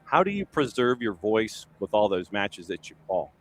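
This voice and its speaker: A man's voice: fairly low pitch, big pitch swings, normal speed. A man hosting a soccer morning show, chatting casually with a guest and joking at his own expense. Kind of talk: casual interview.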